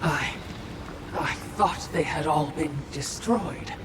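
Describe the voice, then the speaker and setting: Casting: game spirit servant, clever, cautious, politely chiding; measured pace, distant echoing sound. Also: female voice, deep voice, background noise. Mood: solemn. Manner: deferential yet gently chiding. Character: clever, wary spirit servant attending her mistress. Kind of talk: video game voice acting. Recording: echoey, distant, loud music behind.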